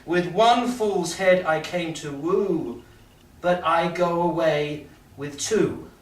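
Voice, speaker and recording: Self-satisfied prince played by a man, high voice, slow stagey verse delivery, recorded distant in a reverberant room. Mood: dismayed, rueful. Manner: pompous, deliberate declaiming with dramatic pauses. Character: pompous, self-satisfied prince choosing among caskets. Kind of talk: Shakespearean staged reading, theatrical verse. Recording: echoey room from far off, faint noise.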